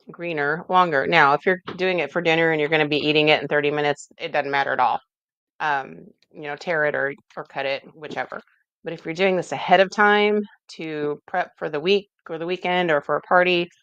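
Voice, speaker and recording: A woman's voice: deep voice, gravelly, fairly bright tone, clear, resonant tone, dry recording, lo-fi sound. A woman hosting a cooking show in a home kitchen, explaining steps in a folksy, chatty way as she works. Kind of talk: casual cooking demonstration.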